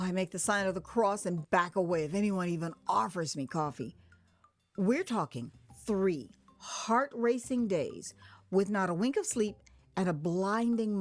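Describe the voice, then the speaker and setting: An American woman narrating her own memoir as a dramatized first-person story, conversational and expressive, acting out moments as she goes. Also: medium pitch. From United States. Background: music.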